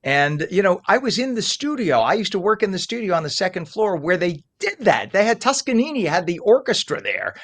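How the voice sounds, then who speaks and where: A man's voice: high voice, big pitch swings, brisk, few pauses, a bit bright. An older man interviewing in an enthusiastic, conversational way, effusive and emphatic as host. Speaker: interview host, former radio disc jockey.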